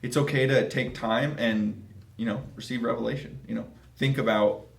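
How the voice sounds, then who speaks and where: A man's voice: mid-range voice, steady tone, moderate pace, gravelly. A man in his twenties talking casually and emphatically as an interview guest, in an echoing conference room. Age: 20s. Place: small office conference room. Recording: roomy, echoing office.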